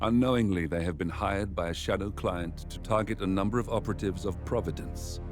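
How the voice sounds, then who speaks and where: An actor narrating a video game as a grave, determined rebel, solemn and measured in a dramatic scripted style. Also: male voice, low-pitched, lightly acted. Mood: grave, expository. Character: grave, determined male rebel recounting a secret war. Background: prominent music.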